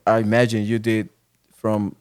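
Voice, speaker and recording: A man hosting a podcast, asking informal, halting questions. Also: on the low side, monotone, a little mellow, clean tone. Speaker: podcast host. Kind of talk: casual interview questions.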